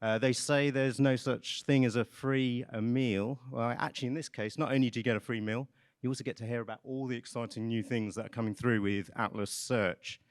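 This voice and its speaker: A man introduces the next speakers at a conference with light jokes, pausing often on fillers. His voice sits at an average pitch. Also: fairly even intonation. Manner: jokey, hesitant with many fillers. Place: large conference hall.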